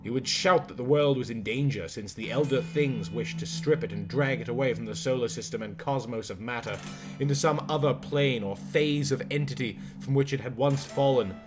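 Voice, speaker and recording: A man's voice: medium pitch, moderate pace. A man reading scripted literary horror fiction as an audiobook narrator. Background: guitar music.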